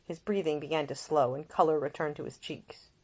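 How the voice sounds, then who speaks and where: A woman's voice: sits low, fairly even intonation, fairly quick, a little mellow. A woman reading her own novel as scripted audiobook narration.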